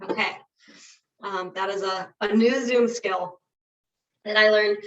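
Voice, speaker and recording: A woman's voice: medium pitch, fairly even intonation, roomy sound. A woman presenting as a conservation director on a webinar, getting over a cold, with a nasal voice and a casual, friendly way of talking. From Illinois. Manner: casual, friendly, quick asides. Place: home with rural internet. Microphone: computer mic on a video call. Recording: dull distant video call audio.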